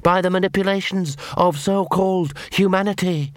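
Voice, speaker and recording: A man's voice: high-pitched, steady tone, normal speed, no echo, clean studio sound, pitched above the performer's own voice, lightly acted. A man voicing a small, mad poodle gang leader, pompous and preachy, in an audiobook. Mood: fanatical. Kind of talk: audiobook character voice.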